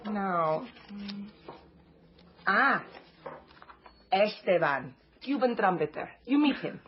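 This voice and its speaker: An actress playing a bossy, flamboyant bar-owning matchmaker with a Latin American Spanish accent, pushy and theatrical, mixing broken English with Spanish. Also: female voice, medium pitch, animated, takes it slowly, highs cut off, a little hiss or hum. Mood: brisk, scheming. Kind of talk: radio drama acting.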